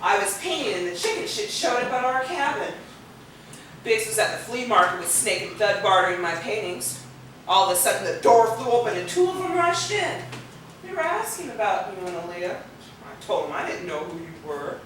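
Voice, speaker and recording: A woman's voice: mid-range voice, big pitch swings, moderate pace, a bit bright. An actress playing a feisty, foul-mouthed wounded woman in a stage play, brash and slangy. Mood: animated storytelling. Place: theater stage, far microphone. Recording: echoey, distant, noisy videotaped stage.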